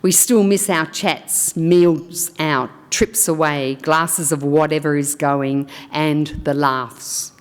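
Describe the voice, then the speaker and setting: A woman acting as funeral celebrant, reading a tribute aloud in a slow, measured, solemn way. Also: low-pitched, steady tone, clear, resonant tone. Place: funeral service hall. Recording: long hall reverb.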